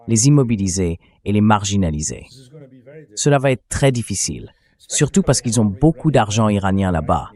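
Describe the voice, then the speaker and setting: A man's voice: fairly low pitch, darkish tone. A man giving opinionated political commentary as an interview guest, emphatic and fond of rhetorical questions, in a synthetic translated dub.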